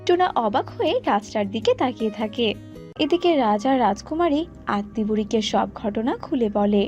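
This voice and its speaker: High-pitched woman narrating a story. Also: lively intonation, normal speed, a little mellow, soft background music. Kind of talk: scripted cartoon story narration.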